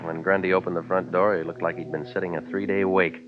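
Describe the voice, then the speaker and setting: An actor playing a cynical, wisecracking American private eye, deadpan and weary, in 1940s radio noir style. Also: male voice, deep voice, quick pace, phone-quality sound, music in the background, natural voice. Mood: wry, world-weary. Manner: deadpan, sardonic. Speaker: lead investigator and narrator. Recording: thin, band-limited old radio recording.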